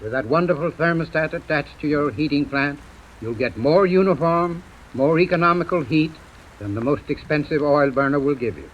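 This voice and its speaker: A man's voice: on the high side, normal speed. A man giving a formal, scripted 1930s radio advertisement as a heating expert. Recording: dull, noisy vintage radio recording.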